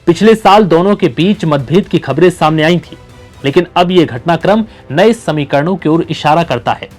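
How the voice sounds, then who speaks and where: A man's voice: a little high, animated, moderate pace, a little mellow. A man reading scripted news narration as a presenter. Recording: music bed under the voice. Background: background music.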